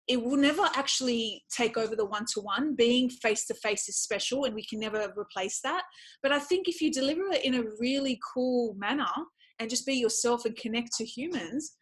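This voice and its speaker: A woman with an Australian accent, talking as a podcast guest in an enthusiastic, chatty and casual way. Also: fairly high pitch. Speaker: podcast guest, speaker and former PE teacher. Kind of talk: casual conversational interview.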